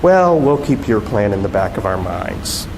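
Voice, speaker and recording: A man voicing a dry, sardonic male TV news director with deadpan sarcasm, reading from a novel. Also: lowish voice, moderate pace, vocal fry. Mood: wry, firm. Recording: echoey hall with loud background noise.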